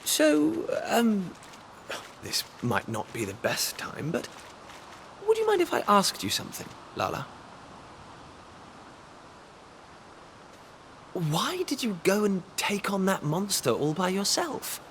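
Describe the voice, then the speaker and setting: A man's voice: high voice, huge pitch swings, a little slow, vocal fry, a bit bright, a little hiss or hum, full character voice. A man playing a plucky, cheeky, protective young adventurer prince in a video game, with a bold, informal manner. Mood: gentle, concerned.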